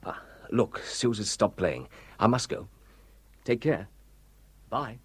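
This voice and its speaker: A man's voice: fairly low pitch, lively intonation, takes it slowly, husky, heavily acted. An actor playing a curious young man on leave who senses something odd, in a radio play. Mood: hushed. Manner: curious, quietly alert. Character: young male officer on leave, amateur sleuth. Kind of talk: radio drama acting.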